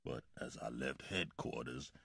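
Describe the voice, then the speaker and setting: An actor playing a proud, dignified old former policeman in a radio docudrama, gravelly and emphatic. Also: a bass voice, measured pace, sharp tone, dry recording, clean studio sound, full character voice. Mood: proud recollection.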